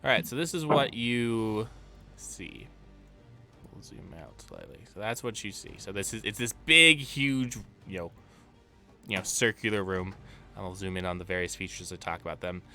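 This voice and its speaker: A man narrates a tabletop game as its game master, describing the scene loosely with plenty of fillers. His voice is on the low side and has a bright, forceful edge. Faint music plays behind him. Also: expressive, moderate pace.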